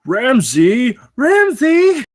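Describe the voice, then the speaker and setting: Cocky party-bro security guard, read by a man, mid-pitched with lively swings, table read. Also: very slow, heavily acted. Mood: loud, riled. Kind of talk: live screenplay table read.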